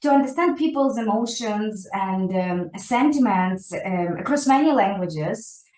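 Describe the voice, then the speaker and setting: Woman guest, Ukrainian first language, mid pitch, thoughtful with many fillers, echoey room. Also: animated, measured pace, darkish tone. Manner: thoughtful, many fillers and restarts, ends points with "right?". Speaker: guest scientist. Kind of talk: conversational interview answer.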